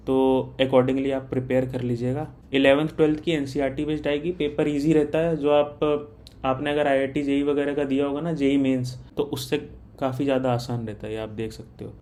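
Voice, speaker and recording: Male explainer, slightly high pitch, informal and instructional, frequent English terms mixed in. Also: a little mellow. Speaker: exam guidance content creator. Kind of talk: informal explainer of recruitment details.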